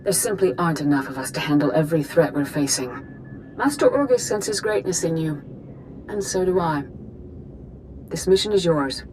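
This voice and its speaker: An actress playing a wise, stern mystic knight master in a video game, measured and authoritative. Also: female voice, deep voice, animated, a little mellow, slight background noise, poor audio. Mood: solemn, authoritative. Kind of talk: video game character acting. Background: music.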